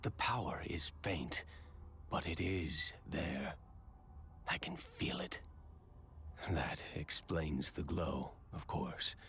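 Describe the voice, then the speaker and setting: An actor playing a composed, aloof young prince in a video game, speaking in a measured, formal and commanding way. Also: male voice, low voice, huge pitch swings, fairly bright tone, a little hiss or hum, heavily acted. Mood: pensive, composed.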